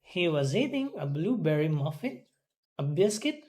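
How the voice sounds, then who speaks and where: An English student carefully reading words and sentences aloud, repeating them deliberately as in a pronunciation drill. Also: medium pitch, big pitch swings, darkish tone. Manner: deliberate, repeating after a teacher.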